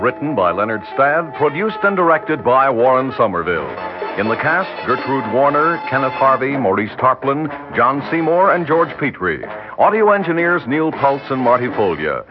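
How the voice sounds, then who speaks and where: A male American announcer reading 1960s radio drama credits in a formal, polished style. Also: mid-range voice. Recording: dull 1960s radio recording, music behind.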